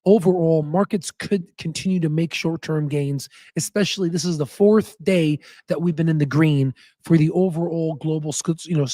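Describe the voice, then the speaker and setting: A man hosts his stock market show with a hoarse, nearly spent voice that sits a bit higher than most men's. His tone is dark and mellow, and the sound is dry and close with no room around it. He rambles casually through his points, full of fillers and restarts. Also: no echo. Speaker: stock market channel host. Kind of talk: casual chat and stock analysis.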